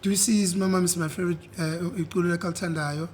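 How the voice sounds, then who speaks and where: A young South African man with a husky voice, chatting easily as an interview guest and mixing in isiZulu. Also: sits high, fast, a little hiss or hum. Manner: relaxed, switches between English and isiZulu, repeats "yeah". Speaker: guest, singer. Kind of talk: casual interview chat.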